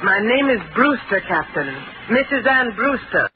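An actress playing a distraught young American bride, pleading and tremulous, in a 1940s radio drama. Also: female voice, a little high, expressive, normal speed, lightly acted. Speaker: passenger. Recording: muffled old radio broadcast with hiss.

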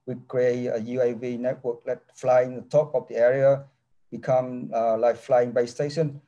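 Halting, mellow-voiced professor on a video call. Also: male voice, medium pitch, flat delivery, highs cut off. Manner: halting non-native English with many restarts. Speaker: engineering professor. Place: office with large window. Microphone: laptop webcam mic on a video call.

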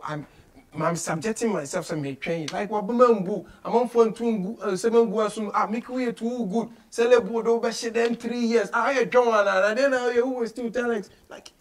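Male interview host, Ghanaian accent, high-pitched, emphatic and animated, code-switching between English and Twi. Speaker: radio show host. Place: small radio studio office, indoors.

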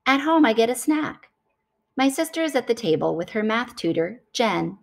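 A woman reading a picture book aloud to children in an expressive, deliberate storytelling style. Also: fairly high pitch, gravelly.

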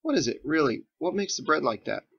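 Man voicing an earnest farm boy, pitched up. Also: sing-song, fairly quick, gravelly, a bit bright, husky, muffled recording, exaggerated voice. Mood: skeptical, curious. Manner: hesitant, naive, plain-spoken.

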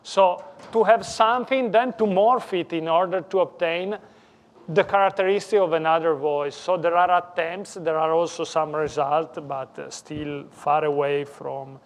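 A man with an Italian accent, a native Italian speaker, lecturing in halting English that he often corrects mid-sentence. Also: a little high. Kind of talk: technical conference talk. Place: lecture room.

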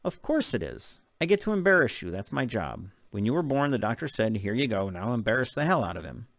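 A man's voice: medium pitch, very animated, a little fast, vocal fry, a bit bright, no echo. Man reading as a nagging, doting mother. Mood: teasing. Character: aggravated, teasing, doting single mother.

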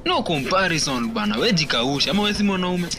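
A man's voice: fairly high pitch, expressive, a little fast, crowd noise, lightly acted. A man with a Kenyan accent playing a pushy, macho young co-worker in a slangy radio drama. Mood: goading. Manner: slangy, goading.

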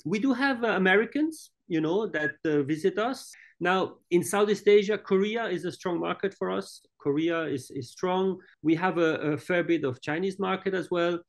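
A middle-aged man who was raised in Italy and Switzerland, giving an interview as head of a hotel group over a video call. He speaks haltingly, with many restarts and fillers. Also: high-pitched, vocal fry. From born in France, raised in Italy and Switzerland. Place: indoors, room with large painting. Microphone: video call webcam.

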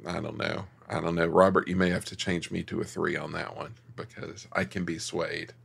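A man with a very low, gravelly voice talks through a film review in casual podcast chat. He hesitates and restarts often, working out his thoughts as he goes. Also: flat delivery, normal speed, a bit bright. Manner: hesitant, thinks aloud with many restarts. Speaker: podcast co-host.

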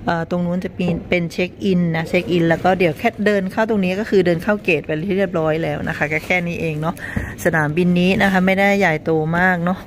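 A woman's voice: lowish voice, steady tone, fast talker. A woman from Thailand, around middle age, chatting casually and politely to viewers as a travel vlogger on a handheld phone. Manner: chatty, addresses viewers with frequent polite particles. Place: airport car park and terminal. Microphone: handheld phone at arm's length. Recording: clearly noisy travel recording with vehicle ambience.

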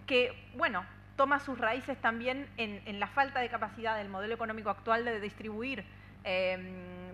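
An Argentine woman in her thirties speaks at a conference table in a clear, bright voice. She talks fluently and earnestly, often pausing on "eh" and restarting phrases. A desk microphone picks her up, with a faint hum underneath. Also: on the high side. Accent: Argentine Spanish. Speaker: union policy adviser speaking on a panel. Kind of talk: conference talk. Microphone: desk microphone on a stand.

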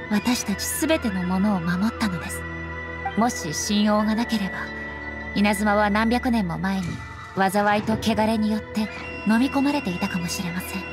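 A woman voicing a calm, earnest deity ruler in an anime game, speaking formally and politely with composure. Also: medium pitch, lightly acted. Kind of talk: Japanese anime game voice acting.

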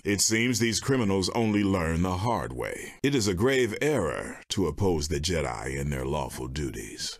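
An actor playing a stern, solemn Jedi master in a video game, speaking in a measured, formal and commanding way with a husky voice. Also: male voice, deep voice, exaggerated voice. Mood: urgent, warning. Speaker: video game mission giver. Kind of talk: scripted game character dialogue.

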